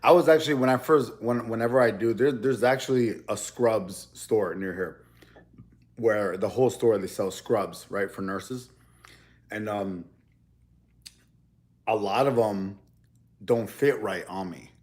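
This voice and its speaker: A man's voice: lowish voice, unhurried, long pauses, darkish tone. A young man in a casual, rambling chat as he eats on camera, often restarting his sentences. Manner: rambling, loose, with many restarts. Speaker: mukbang YouTuber.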